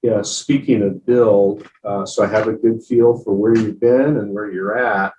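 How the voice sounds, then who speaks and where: A man moderating a panel, asking halting, measured questions. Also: fairly low pitch, steady tone, dark tone, echoey room, distant mic, dull audio.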